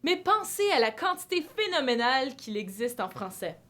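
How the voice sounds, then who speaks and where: Young woman, presenter-style sketch lines, higher voice, very lively intonation. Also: normal speed. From Manitoba, Canada. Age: young adult. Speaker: youth project member. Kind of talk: scripted sketch delivery.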